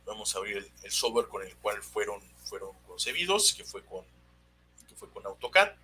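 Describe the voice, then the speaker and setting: Sharp-voiced, halting Mexican man teaching. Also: lowish voice, sing-song. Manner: hesitant, halting, searching for words. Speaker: technical instructor presenting a webinar. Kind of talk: unscripted technical explanation of engineering drawings. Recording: noisy webinar audio with hum.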